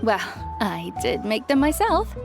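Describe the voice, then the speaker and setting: A woman's voice: a little high, very animated, measured pace, creaky, loud music behind the voice, full character voice. A woman playing a caring but exacting village auntie in a video game, matter-of-fact and instructive. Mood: composed, caring. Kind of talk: English video game voice acting.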